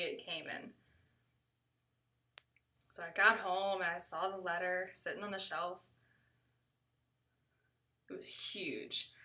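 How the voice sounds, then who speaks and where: A woman's voice: lowish voice, pushed and bright, distant mic. An American woman telling a first-person story in a conversational way, acting out bits of quoted dialogue.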